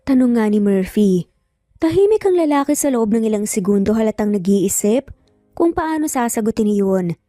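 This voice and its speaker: Filipino female narrator for dramatic story, gentle dark timbre, very dry recording. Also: a little high, lively intonation, moderate pace, dry recording, faint music behind.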